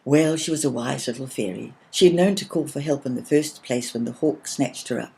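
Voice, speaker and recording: A woman narrating a children's story from a script in a gentle, expressive, measured way. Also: rumbling low, creaky, poor audio.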